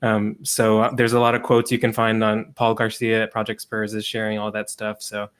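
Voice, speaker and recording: A man hosting a casual livestream over a video call, relaxed and chatty, with frequent ums and laughs. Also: lowish voice, normal speed, smooth. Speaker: livestream host and sports writer.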